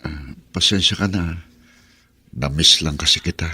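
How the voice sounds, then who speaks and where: A man playing an affectionate young husband in a Filipino radio drama, with a husky voice and an eager way of talking. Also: rumbling low, a little slow, bright, forceful tone, full character voice.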